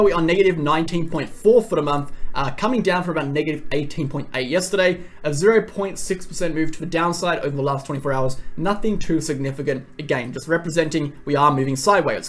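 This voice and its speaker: A man presenting a crypto market analysis in a brisk, emphatic, repetitive way, his voice a little hoarse from talking a lot. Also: a little high. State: voice going a bit hoarse.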